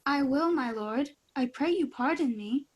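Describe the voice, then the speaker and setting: A woman's voice: sits high, expressive, measured pace. A woman playing a proud, affectionate queen and mother in a Shakespearean radio play. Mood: proud, festive.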